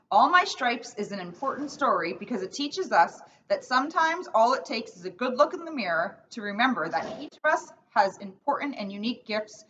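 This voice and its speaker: A woman's voice: fairly high pitch, sharp tone. A woman police officer reading a children's book aloud indoors, in a storytelling style. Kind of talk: read-aloud storytelling with casual intro. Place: indoors in a borough hall seating area. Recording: distant mic, dull sound, faint noise.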